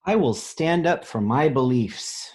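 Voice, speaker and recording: A man, an elementary school teacher, reading a picture book aloud expressively and pausing to explain it in simple words for children. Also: medium pitch, lively intonation, a little mellow, breathy edge.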